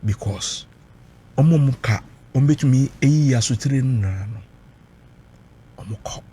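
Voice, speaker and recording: A man's voice: mid-range voice, takes it slowly, dark tone, breathy edge. A Ghanaian man recounting personal experience emotionally, mixing Twi and English, emphatic and dramatic, repeating phrases for weight.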